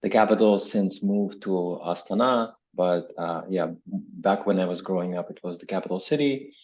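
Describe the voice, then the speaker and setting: A man from Kazakhstan in his forties speaks as an interviewed academic. His voice sits low and he explains things reflectively, recalling his own life and tagging points with small checking questions. The audio is a little dull and lo-fi. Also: normal speed, darkish tone. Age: 40s. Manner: reflective, explanatory, often tags phrases with "right?". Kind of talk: conversational interview answers with personal recollection.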